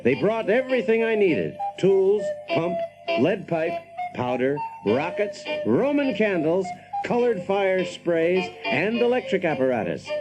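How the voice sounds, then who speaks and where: A man's voice: high-pitched, big pitch swings, a little slow, dull audio, music in the background. A man playing a brash, wry engineer in a radio drama, telling his story with dry, folksy self-assurance. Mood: wry, self-satisfied. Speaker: protagonist and narrator. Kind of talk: dramatized first-person narration, radio drama.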